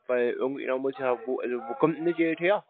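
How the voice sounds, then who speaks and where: A man's voice: medium pitch, fast. A man chatting casually on the phone in a laconic, colloquial and naive-sounding way. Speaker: prank call recipient. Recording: dry, narrow phone-band sound.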